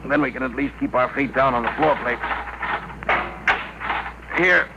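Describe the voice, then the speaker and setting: An actor playing a firm, businesslike rocket pilot who gives crisp, no-nonsense orders in a 1950s radio drama. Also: male voice, mid-range voice, unhurried. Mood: calm, instructive. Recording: very noisy, dull old radio recording.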